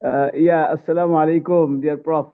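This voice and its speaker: A man's voice: on the high side, level tone, moderate pace. A man with a Bangladeshi accent politely and hesitantly asking a question in an online session. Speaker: audience member asking a question. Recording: tinny phone-like line.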